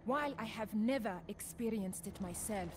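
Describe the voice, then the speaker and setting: An actress playing a solemn, steady mystic guide in a fantasy game, measured and ceremonial in delivery. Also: female voice, medium pitch, natural voice. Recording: clear background ambience noise.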